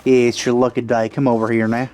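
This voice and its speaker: A man's voice: medium pitch, level tone, a little fast. Offhand male gamer over game music. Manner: offhand, joking. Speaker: let's play gamer. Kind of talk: casual gameplay commentary. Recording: game music behind commentary.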